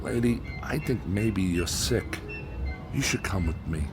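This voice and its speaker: A man's voice: on the low side, lively intonation, measured pace, over music. An actor playing a brusque, skeptical working man in a radio drama, blunt and colloquial. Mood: firm, apologetic. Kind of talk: dramatic radio play acting.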